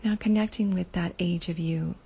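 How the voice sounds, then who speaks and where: A woman leading a guided inner-child meditation, speaking softly and slowly, often in a half whisper. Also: on the low side, fairly even intonation, creaky, breathy edge.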